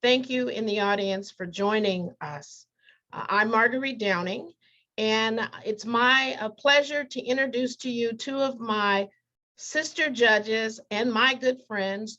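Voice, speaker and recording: A woman judge introducing her fellow panelists in a gracious, measured way with some fillers. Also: a little high, pushed and bright, highs cut off.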